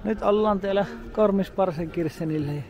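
A man's voice: high-pitched, a little mellow, faint music behind. A man casually narrating a road-trip vlog outdoors, talking plainly about the day's plans. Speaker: travel vlogger. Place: outdoors, by a car park in a mountain town.

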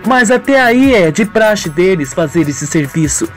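Man putting on a scheming young woman, high-pitched. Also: expressive, a little slow, faint music behind. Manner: coy, slangy, calculating. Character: scheming, dissimulating young woman, falsely innocent.

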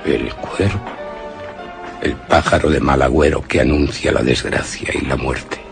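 An actor voicing the hushed, brooding thoughts of a fascinated man in a gothic radio drama. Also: very deep voice, measured pace, creaky, music in the background, exaggerated voice. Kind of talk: interior monologue in suspense radio drama.

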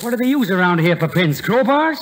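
Very high-pitched fretful sleepless tenant. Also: male voice, big pitch swings, fairly quick, loud music behind the voice. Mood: irritated. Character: fretful, sleepless male tenant seeking quiet. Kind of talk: 1940s vaudeville radio comedy. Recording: very noisy vintage radio recording.

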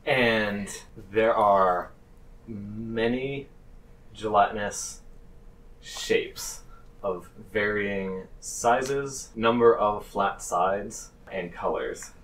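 A man narrating a tabletop game as game master, halting and pausing between phrases. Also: lowish voice, fairly bright tone, slight background noise. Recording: distant microphone.

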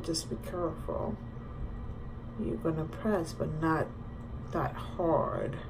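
Slow-talking bilingual woman. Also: sits low. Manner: relaxed, switches between Spanish and English. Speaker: vlogger. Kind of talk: casual bilingual vlog, narrating a skincare routine. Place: small indoor bathroom. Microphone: camera or phone at about arm's length. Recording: clearly noisy home bathroom recording.

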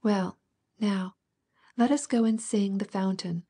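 A woman's voice: medium pitch, steady tone, takes it slowly, dark tone, breathy edge. A woman voicing a merry, comforting young girl.

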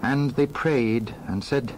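Guy narrating bible drama, measured and solemn, medium pitch, bit of ambient noise.

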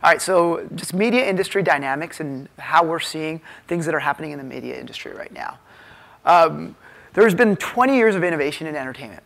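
Higher-voiced American man presenting on stage. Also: expressive, gravelly, fairly bright tone. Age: 30s. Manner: halting, filler-heavy, informal delivery. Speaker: keynote speaker, tech executive. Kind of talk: informal conference presentation. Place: hotel conference ballroom stage, indoors. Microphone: lapel mic.

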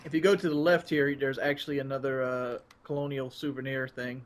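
A man's voice: medium pitch, level tone, fairly quick. A man chatting casually and a bit crudely while playing a co-op video game. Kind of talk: casual co-op gameplay chat.

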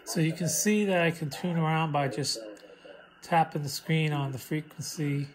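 A man's voice: a little high, moderate pace, rough recording. A man giving a casual hands-on demonstration of a gadget, speaking as the presenter. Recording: steady radio hiss and chatter. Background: shortwave radio static and voices.

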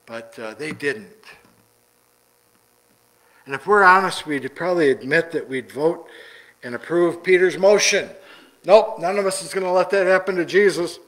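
A middle-aged man preaching a sermon from the pulpit in a church sanctuary, deliberate and repeating words for emphasis. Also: a little high, fairly bright tone, low-quality recording. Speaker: pastor. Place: church sanctuary, large indoor room.

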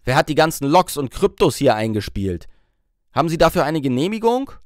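A man's voice: on the high side, sing-song, brisk, dry recording, studio quality. Man acting out a curt, bossy little office man. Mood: accusing. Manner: curt, barking, impatient. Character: short stocky male IT man, gruff, officious, bossy.

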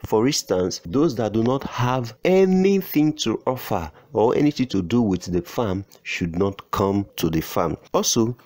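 Male farmer, lowish voice, measured instructional voice-over with hesitations. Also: a little mellow. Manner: slow and deliberate, with fillers and restarts. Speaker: poultry farmer.

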